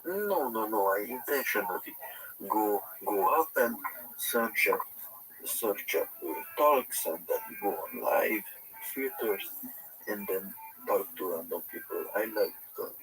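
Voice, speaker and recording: Slow halting man. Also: on the low side, animated, music in the background, poor audio. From Serbia (Vojvodina). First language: Hungarian. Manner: halting, repeats words while searching for English. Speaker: guest caller in a livestream chat. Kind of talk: casual chat.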